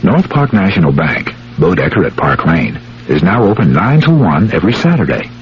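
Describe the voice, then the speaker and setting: An American male announcer reads a radio ad in a deep, lively voice. The 1970s recording is dull, with clear noise behind him. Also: normal speed. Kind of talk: scripted ad announcement.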